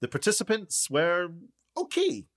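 A man's voice: high voice, huge pitch swings, unhurried, heavily acted. Man playing a pompous, swooping radio show host. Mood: grandiose. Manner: self-important, showy. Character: pompous self-styled documentary maker and TV-style host, man. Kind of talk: mock broadcast presenting in radio play.

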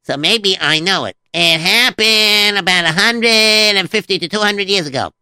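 A man's voice: high voice, normal speed, sharp tone, clear, resonant tone, dry recording, heavily acted. A man playing a scatterbrained, stammering comic sidekick who keeps interrupting and mishearing words. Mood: goofy. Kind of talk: comedic children's story show.

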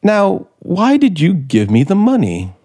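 An actor playing a brooding, manipulative scriptwriter in 1950s radio suspense style, probing deliberately with dramatic pauses. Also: male voice, high voice, wildly swooping pitch, a little mellow, slight background noise, heavily acted. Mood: intense, testing. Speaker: actor in the lead role. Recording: 1950s radio broadcast, music behind.

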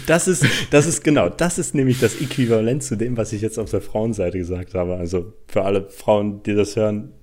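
A man's voice: fairly low pitch, fairly quick, hardly any pauses, mellow, a little hiss or hum. A man, co-hosting a casual podcast, telling stories in a colloquial, sweary, ranting way and acting out quotes. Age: 20s. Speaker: podcast co-host. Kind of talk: casual podcast banter and storytelling.

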